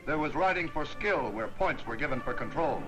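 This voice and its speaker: A man narrating a wartime newsreel in a brisk, stirring announcer's delivery. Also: medium pitch, lively intonation. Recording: old newsreel soundtrack with loud music.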